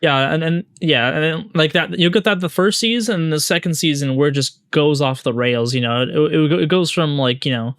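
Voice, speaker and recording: Man, fairly high voice, podcast co-host, slangy, exclamatory, halting starts, dry sound. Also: fairly bright tone, dry recording. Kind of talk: casual chat, recommending anime.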